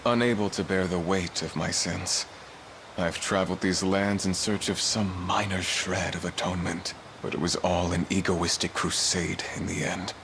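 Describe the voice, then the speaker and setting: A deep, brooding fallen knight. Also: male voice, little pitch movement, moderate pace, background noise. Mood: remorseful, weary. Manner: grave, formal archaic speech. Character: brooding, guilt-ridden fallen knight, solemn and weary. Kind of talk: dramatic fantasy video game acting.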